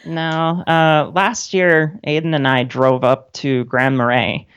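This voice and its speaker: A woman's voice: low-pitched, flat delivery, slow pace, clean tone, dry recording. A woman who is a guest on a radio interview, chatting casually with a wry manner. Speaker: guest, independent author and grad student. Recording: dull, lo-fi line.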